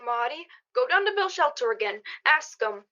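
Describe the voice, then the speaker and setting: A woman's voice: high voice, sing-song, normal speed, bright, forceful tone, full character voice. A woman playing an anxious, resentful neighbor in a radio play, with an indignant delivery. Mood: urgent. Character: resentful, anxious neighbor protective of own street. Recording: dull lo-fi audio.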